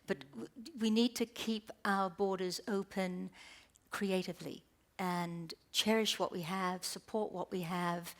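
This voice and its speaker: A woman speaking as the arts voice on a panel, earnest and emphatic. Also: lowish voice. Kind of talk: panel discussion remarks.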